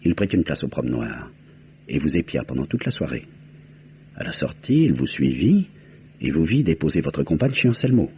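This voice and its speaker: An actor playing a composed detective, measured and articulate as he explains, in an old French radio crime drama. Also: extremely low pitch, sing-song, warm tone. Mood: methodical storytelling. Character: composed, methodical detective recounting a solved murder. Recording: muffled old radio recording, faint noise.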